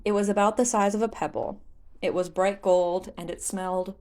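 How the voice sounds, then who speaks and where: A woman's voice: medium pitch, fairly even intonation, moderate pace, a little mellow. A woman reading scripted fan fiction as an audiobook narrator.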